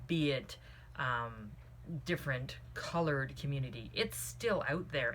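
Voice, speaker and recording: A woman giving a casual spoken book review in an earnest, opinionated way, drifting into tangents. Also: deep voice. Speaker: book club host reviewing a book. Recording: clearly audible background noise.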